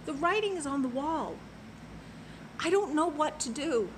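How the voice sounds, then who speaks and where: A woman telling a personal story in an earnest, impassioned way, swinging from soft to loud. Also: high voice, expressive, unhurried, a little hiss or hum. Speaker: passport office clerk. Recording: loud music under the voice.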